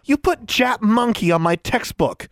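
A man's voice: very high voice, huge pitch swings, measured pace, fairly bright tone, no room sound, studio quality, pitched above the performer's own voice, exaggerated voice. A man voicing a frightened, defensive young schoolgirl in an audiobook story, with stammering, squealing, tearful delivery. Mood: indignant.

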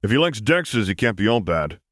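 A man's voice: on the low side, sing-song, moderate pace, a bit bright, no room sound, voice pushed up. A cocky, easygoing male pilot, voiced by a male reader. He talks in a casual, breezy, reassuring way in this storybook reading, with a dry, close sound.

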